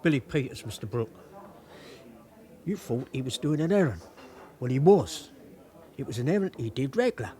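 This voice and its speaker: An actor playing a cagey small-time police informant in a radio drama, evasive yet confiding. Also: male voice, mid-range voice, very animated, moderate pace, pauses often, mellow, close to an everyday voice.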